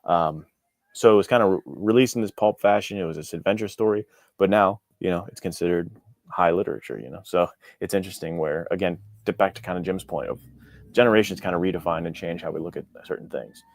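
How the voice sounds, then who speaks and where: A man speaking as a guest author in a casual podcast conversation, with an informal, halting way of talking. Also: low voice, no room sound, studio quality. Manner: informal, halting, peppered with "like" and "you know".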